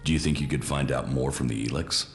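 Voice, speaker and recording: An actor playing a grim, emotionally detached former commander in a video game, speaking in a terse deadpan with a gravelly voice. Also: male voice, very deep voice, fast talker. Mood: guarded, questioning. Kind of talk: video game cutscene acting. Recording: echoey reverb, music behind.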